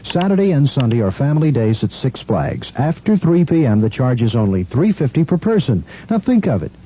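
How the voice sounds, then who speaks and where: A man's voice: sing-song, a little fast, mellow, husky. A man announcing a radio commercial in the manner of a mock sermon, exhorting listeners. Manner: preacherly, exhortatory. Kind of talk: mock-sermon advertising pitch. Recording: muffled radio recording, faint rumble.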